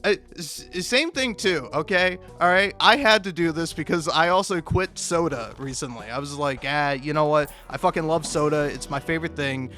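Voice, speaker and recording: Rambling, sweary man with a higher-pitched voice. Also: normal speed, few pauses, sharp tone, soft background music. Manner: sweary, self-deprecating, meandering; chuckles often while talking. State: high on drugs. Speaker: video game streamer. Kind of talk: casual rambling gameplay commentary.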